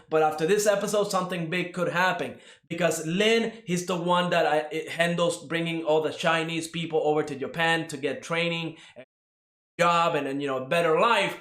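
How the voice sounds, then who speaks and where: A man's voice: fairly high pitch, fairly bright tone. Rambling man in an echoey room. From Cuba. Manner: rambling, with many fillers and "right?" tags. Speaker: anime reviewer. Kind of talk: casual spoken review.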